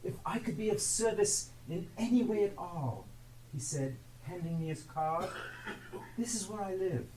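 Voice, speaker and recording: An actor playing a tender, earnest, grieving family father on stage, with an emotional, drawn-out delivery. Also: sits high, expressive, warm tone, far from the mic. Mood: fond, admiring. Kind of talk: theatrical live stage acting. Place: theatre stage. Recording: echoey, noisy live stage recording from afar.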